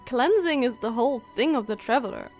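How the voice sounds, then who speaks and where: A woman's voice: on the high side, expressive, fairly quick, a little mellow, faint music behind. A woman doing casual live game commentary, chatty and musing aloud as she reacts to the story. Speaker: game streamer.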